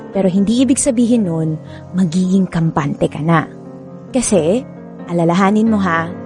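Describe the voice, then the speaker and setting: A woman with a Filipino accent playing a frank, caring best friend who gives advice in a radio drama, with expressive, drawn-out emphasis. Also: lowish voice, natural voice.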